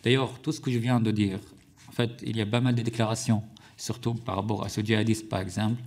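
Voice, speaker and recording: Man, low gravelly voice, halting committee testimony. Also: level tone, a little mellow. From Syria. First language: Arabic. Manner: hesitant, many fillers and restarts. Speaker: witness at a parliamentary hearing. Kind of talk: formal testimony. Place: parliamentary committee room.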